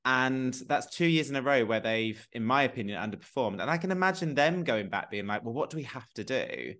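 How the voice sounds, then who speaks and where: A man, talking on a video call from his room at home. He sounds opinionated and expressive, and his voice swings lively up and down. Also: mid-range voice, fairly quick. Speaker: co-host. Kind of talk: casual chat on a video call.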